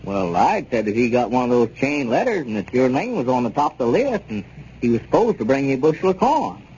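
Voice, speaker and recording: An actor playing a sensible, plainspoken small-town neighbor in a 1930s radio comedy. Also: male voice, mid-range voice, big pitch swings, a little fast, a little mellow, natural voice. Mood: puzzled. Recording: noisy, dull 1930s radio recording.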